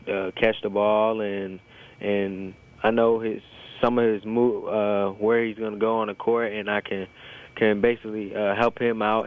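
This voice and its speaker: Young American athlete on a tinny phone. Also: male voice, lowish voice, clean tone. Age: early 20s. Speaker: college basketball player being interviewed. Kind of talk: informal interview answers. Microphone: telephone. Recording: tinny, narrow phone line.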